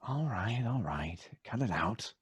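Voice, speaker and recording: An actor playing a nervous, grumbling accomplice in an old-time radio drama, stammering and complaining. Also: male voice, on the low side, sing-song, measured pace, a little hiss or hum. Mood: hushed grumbling.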